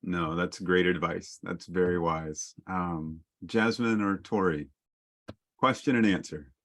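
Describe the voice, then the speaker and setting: A man's voice: sits low, fairly even intonation, takes it slowly, fairly bright tone. A man moderating a panel discussion over a video call, wrapping up with thanks to the guests.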